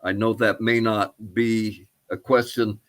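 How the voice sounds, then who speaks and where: An older man answering interview questions as a historian, speaking in a halting, thoughtful, tentative way. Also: on the low side, flat delivery, a bit bright. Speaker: archaeologist and historian guest. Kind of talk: interview answers about research.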